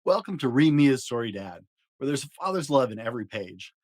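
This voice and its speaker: Animated man reading a children's story. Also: medium pitch, a little fast, dry recording. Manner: animated, dramatic delivery. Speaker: storyteller reading picture books aloud. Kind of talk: expressive children's story narration.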